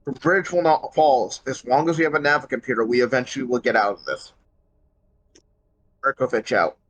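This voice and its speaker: A man playing a shaken but resolute starship medical officer in a sci-fi roleplay audio log, speaking gravely and haltingly. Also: mid-range voice, a bit bright, lightly acted, poor audio. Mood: grim, determined. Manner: halting, grave, stammering under strain.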